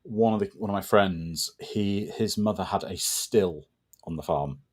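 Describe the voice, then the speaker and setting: A man's voice: low-pitched, measured pace. A man co-hosting a casual film watch-party commentary, telling stories with wry asides. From moved to Wales as a child. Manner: chatty, storytelling, wry asides.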